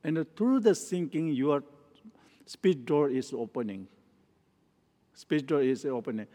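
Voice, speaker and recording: A man from Nepal, a teacher, giving a spoken teaching in slow, halting English full of restarts. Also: a little high, expressive, warm tone. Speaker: Tibetan Buddhist teacher. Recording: long hall reverb.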